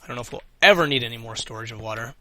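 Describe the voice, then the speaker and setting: A man's voice: medium pitch, very fast, noisy background. A man losing his voice, hosting a let's play and thinking aloud with casual swearing as he plays. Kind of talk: casual gameplay commentary.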